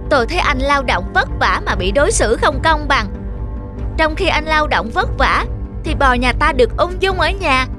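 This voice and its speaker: A woman voicing an envious, meddlesome female duck in an animated fairy tale, complaining and insinuating as she talks. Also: high voice, moderate pace, over music. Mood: scheming.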